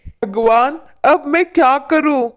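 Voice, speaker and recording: A woman's voice: sits high, slow, pitched above the performer's own voice. A woman voicing a poor, humble male farmer in a storybook tale, pleading and lamenting in sorrow. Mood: sorrowful. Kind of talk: storybook character acting.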